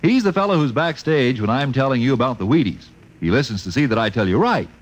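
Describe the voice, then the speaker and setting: A man announcing on 1950s radio, delivering a sponsor pitch in a folksy, jovial way. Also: lively intonation, fast talker.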